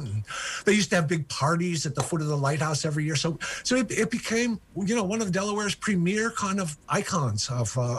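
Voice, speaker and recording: A man's voice: a little high, slightly rough. An older man in his sixties, an author guest, telling a local history story over a video call with lots of ums and uhs. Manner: enthusiastic, many ums and uhs. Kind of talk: remote interview storytelling.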